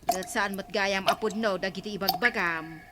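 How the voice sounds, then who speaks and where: A woman's voice: mid-range voice, moderate pace, noisy background, lightly acted. A woman playing a disapproving village neighbour in an Ilocano comedy drama. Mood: reproachful.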